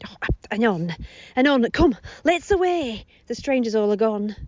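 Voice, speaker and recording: An actress playing a bustling, devoted old nurse in an amateur Shakespeare audio drama, recorded at home on a phone. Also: female voice, fairly high pitch, very animated, a little slow, a little mellow, highs cut off.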